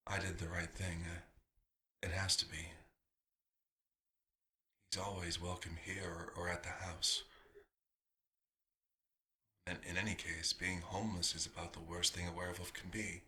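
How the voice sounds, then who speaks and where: A man playing a nostalgic, proud vampire doctor in an ASMR roleplay, musing theatrically and slipping into breathy whispers. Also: low-pitched, little pitch movement, unhurried, pauses often, bright, forceful tone, exaggerated voice. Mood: wistful, worried.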